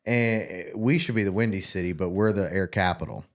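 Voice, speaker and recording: A man's voice: low-pitched, brisk. A man from Kansas hosting a trades podcast, chatting in a loose, rambling way with jokey asides. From Kansas, USA. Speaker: podcast host. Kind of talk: casual interview chat.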